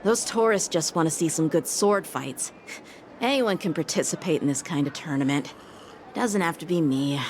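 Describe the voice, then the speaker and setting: A woman's voice: deep voice, normal speed, a little mellow, a little hiss or hum, close to an everyday voice. A woman voicing an earnest, dutiful teenage boy knight lieutenant in a game, speaking formally and conscientiously. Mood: earnest, resolute. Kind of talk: acted game story dialogue.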